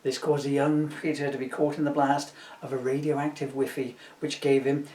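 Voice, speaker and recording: A middle-aged man reads a children's book in a loose, chatty way, rambling into jokey asides. His voice sits at an ordinary pitch. The recording is roomy and echoing, with the microphone some way off. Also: flat delivery, moderate pace, mellow. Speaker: author reading from his own children's book. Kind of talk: informal, chatty video book reading. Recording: roomy echo, distant microphone.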